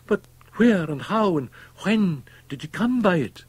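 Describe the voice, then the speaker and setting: A man voicing a frightened, guilt-stricken young medical student, hoarse and anguished, protesting as he speaks. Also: medium pitch, a little slow, darkish tone, dry recording, pitched above the performer's own voice. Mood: shocked disbelief. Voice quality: hoarse, strained.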